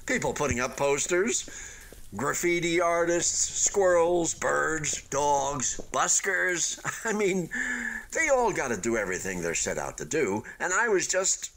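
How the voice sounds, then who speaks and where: An actor in a radio play voicing an abandoned, unfinished hotel building, wistful and defensive, chatting in hesitant, earnest philosophical musings. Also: male voice, medium pitch, pushed and bright, full character voice. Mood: rueful, resentful. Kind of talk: radio play acting, conversational monologue.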